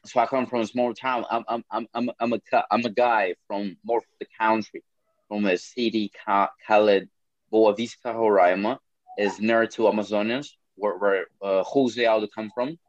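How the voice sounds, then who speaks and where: A man's voice: lowish voice, fairly even intonation, moderate pace, no room sound, muffled recording. A young man from Brazil with a Brazilian Portuguese accent, speaking English as a second language in a casual interview, often repeating words and swearing casually. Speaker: MMA fighter.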